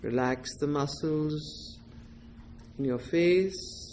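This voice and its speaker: Woman doing guided meditation, really slow n gentle, lots of pauses, bit of faint background noise. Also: deep voice. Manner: gentle, deliberate short phrases with long pauses. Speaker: meditation teacher.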